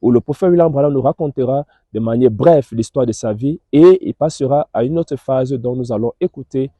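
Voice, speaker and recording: A man hosting a religious TV programme, addressing viewers like a preacher in a solemn, deliberate and emphatic way. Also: mid-range voice, warm tone, dry recording, highs cut off.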